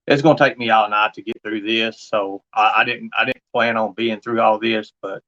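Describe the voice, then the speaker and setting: A man's voice: lowish voice, steady tone, moderate pace, sharp tone, dull audio. A man livestreaming in an insistent, repetitive, confrontational way. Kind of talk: impassioned monologue to an absent person.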